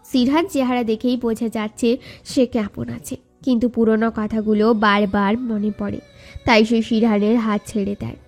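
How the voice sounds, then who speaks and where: A woman's voice: on the high side, level tone, moderate pace, a little mellow. A woman narrator reading a scripted romantic story. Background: background music.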